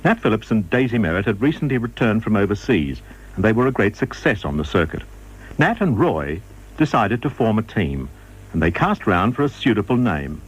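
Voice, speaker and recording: A man reading scripted radio documentary narration in a measured, formal manner. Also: lowish voice, very animated, husky, rough recording.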